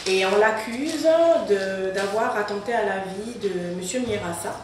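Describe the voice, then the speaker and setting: A French woman, a guest in an interview, answering haltingly with many fillers. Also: mid-range voice, noisy background. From France. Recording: echoey, distant mic.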